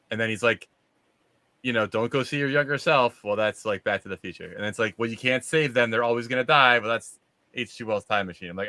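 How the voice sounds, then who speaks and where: A man's voice: medium pitch, sharp tone, no echo. A man hosting a casual live movie discussion, talking in an informal, rambling, conversational way. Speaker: show host.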